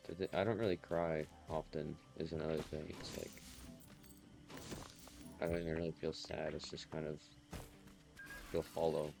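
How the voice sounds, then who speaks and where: Very deep gravelly monotone young man. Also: moderate pace, pauses often. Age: young adult. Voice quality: gravelly low voice. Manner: monotonous, flat delivery. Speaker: gamer vlogger. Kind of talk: casual gameplay commentary. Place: home room. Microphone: desk mic with noise suppression. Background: loud game music and gunfire.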